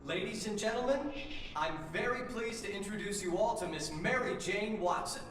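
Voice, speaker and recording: A man's voice: high-pitched, moderate pace, fairly bright tone, slight background noise. An actor playing a proud young man in a video game, formally announcing his engagement to a crowd. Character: proud young male fiance making a toast. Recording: echoey hall from far off.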